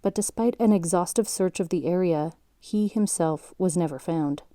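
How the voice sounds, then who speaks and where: A woman's voice: on the low side, moderate pace, warm tone, dry recording, studio quality, lightly acted. A woman playing a sober documentary narrator in an audio drama, reading scripted, factual narration solemnly.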